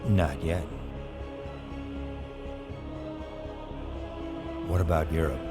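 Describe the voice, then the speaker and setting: Very deep-voiced grave government official. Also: male voice, steady tone, fairly quick, music in the background. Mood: ominous. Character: grave, shadowy senior American government official giving briefings. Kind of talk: cinematic video game acting.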